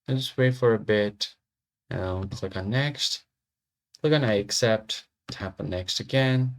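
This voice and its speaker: Low-pitched man narrating a tutorial. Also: measured pace. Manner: casual, instructional. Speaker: software tutorial presenter. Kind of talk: step-by-step screen tutorial narration.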